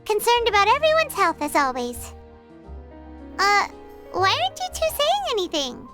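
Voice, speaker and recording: A woman's voice: very high-pitched, wildly swooping pitch, moderate pace, pushed and bright, faint music behind, full character voice. A woman voicing a chirpy little fairy girl companion in a video game. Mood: chirpy, curious. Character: chirpy small fairy girl companion speaking in third person.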